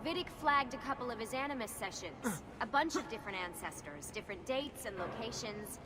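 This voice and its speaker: An actress playing a composed young woman scientist in a video game, speaking in a matter-of-fact, quietly earnest way. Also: a little high, animated, fairly quick, noisy background, natural voice. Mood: regretful, earnest. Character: composed, guilt-tinged young woman scientist guiding an agent. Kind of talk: naturalistic video game cutscene acting.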